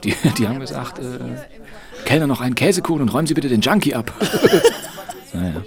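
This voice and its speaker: An actor playing a sardonic, joking barfly who talks colloquially and repeats himself in a naturalistic radio play. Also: male voice, medium pitch, very animated, brisk. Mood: mocking, joking.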